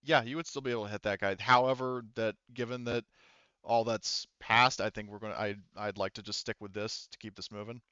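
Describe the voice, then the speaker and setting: A man acting as game master for a tabletop game over voice chat, pausing often with ums as he works through the rules. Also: on the low side, fairly even intonation, sharp tone, clean tone, no echo, dull audio. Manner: halting, many ums and restarts while narrating rules. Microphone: headset.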